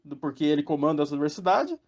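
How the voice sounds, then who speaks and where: A man's voice: on the high side, lively intonation, rapid-fire. Rambling Brazilian man, muffled lo-fi sound. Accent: Brazilian Portuguese. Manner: rambling, full of fillers and tag questions. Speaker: podcast host. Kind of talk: casual spoken commentary.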